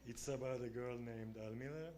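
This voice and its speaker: Man, very slow and halting, noisy room recording. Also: medium pitch. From Turkey. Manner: halting, with pauses and repeats. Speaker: graduating student presenter. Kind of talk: short presentation speech.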